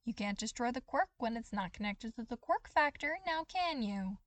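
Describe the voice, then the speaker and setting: A woman voicing a reckless teenage boy hero with an animated, cheeky delivery. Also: on the high side, moderate pace, no room sound, pitched above the performer's own voice, lightly acted. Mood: gleeful taunting.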